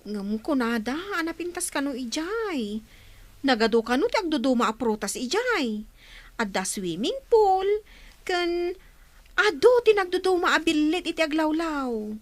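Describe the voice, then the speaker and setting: A woman's voice: sits high, sing-song, measured pace, no room sound. A woman with an Ilocano accent voicing a curious, eager child in a radio drama.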